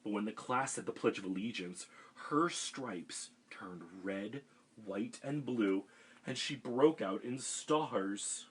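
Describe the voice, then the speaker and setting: A man reading a picture book aloud to children over a livestream, with gentle chatty asides. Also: fairly low pitch, slow pace, husky, rough recording. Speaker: storytime reader for children. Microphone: webcam livestream.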